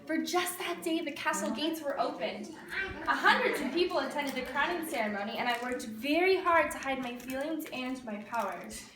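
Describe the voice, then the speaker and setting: A woman's voice: high voice, normal speed, natural voice. A woman playing a young snow queen with ice powers, telling her story to children and coaxing them with questions. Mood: wistful, earnest. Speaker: costumed storyteller performer. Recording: distant, echoey room with audience chatter.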